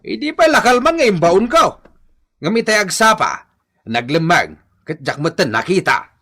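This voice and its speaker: A man's voice: high-pitched, very animated, normal speed, exaggerated voice. A man playing an angry, emphatic older father-in-law in an Ilocano radio drama. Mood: indignant. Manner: indignant, forceful, emphatic.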